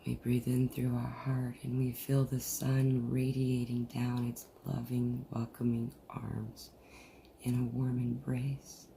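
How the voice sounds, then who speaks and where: Meditation leader, gravelly fry, flat gentle delivery, soothing long pauses, slightly noisy video-call audio. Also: mid-range voice. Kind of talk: guided meditation.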